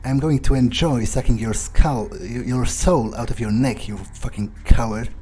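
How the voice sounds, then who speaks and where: A man voicing a cocky, vindictive vampire in a video game roleplay, halting and foul-mouthed as he taunts and threatens. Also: medium pitch, background noise, natural voice. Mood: taunting menace. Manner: halting, self-correcting, swearing, taunting.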